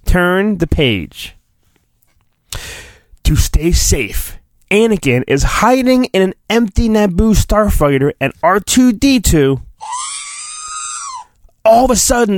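A man's voice: sits high, no room sound. A man hosting a podcast, reading a storybook in a mock-dramatic, playful way with casual asides.

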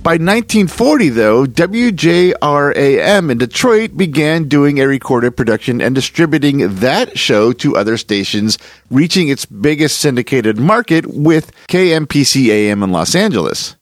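A man's voice: medium pitch, big pitch swings, normal speed, dry recording, studio quality. A man presenting as a host, reading a scripted introduction in a narration style. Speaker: podcast host. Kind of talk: scripted narration introducing old radio horror. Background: music underneath.

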